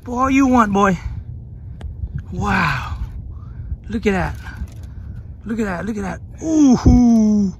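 A man hosting a fishing vlog from a small boat, giving excited commentary, whooping and repeating himself while fighting a fish. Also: sits high, slow, pauses often, muffled recording. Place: small open boat on a wide river delta. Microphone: action camera on the boat. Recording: noisy outdoor action-cam audio. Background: wind, water and boat noise.

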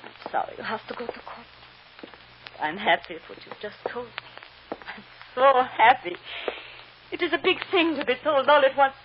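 Anxious immigrant wife, muffled old radio. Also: female voice, sits high, sing-song, normal speed, slight background noise. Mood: relieved, happy. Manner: earnest, emotional, stilted foreign phrasing. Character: devoted, anxious immigrant wife and mother, insistent, tender. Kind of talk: old-time radio drama acting.

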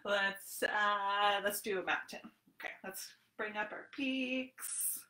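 A woman's voice: mid-range voice, takes it slowly, pushed and bright. A woman in her thirties leading a children's storytime in a sing-song, encouraging way, as a librarian talking to small children. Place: small room at home, indoors. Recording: roomy echo on home webcam recording.

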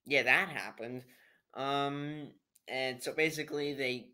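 Teenage male, smooth clear voice, mid pitch, casual vlog review, hesitant, rambling, trailing off, home webcam mic. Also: steady tone, slow, bright, forceful tone. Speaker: amateur video reviewer. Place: living room at home, indoors.

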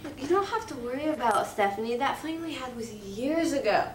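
A woman's voice: high-pitched, lively intonation, brisk, echoey room, far from the mic, noisy background. A woman acting in a comedic drama, bossy and gossipy in manner.

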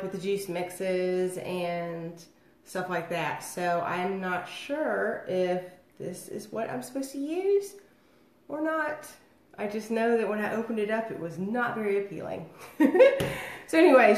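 A woman, getting over a cold and a little nasal, chatting rambly on a home livestream while mixing drinks at her table. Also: medium pitch. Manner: rambling and chatty, many fillers. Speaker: host of a home cocktail livestream. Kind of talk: casual livestream chat. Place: dining room at home, indoors. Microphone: phone or webcam across the table. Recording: echoey room.

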